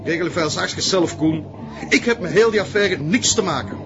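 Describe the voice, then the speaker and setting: An actor playing a gruff, hot-tempered bar owner with a Flemish accent in a crime drama. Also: male voice, high-pitched, brisk, highs cut off, background noise. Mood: angry, defiant.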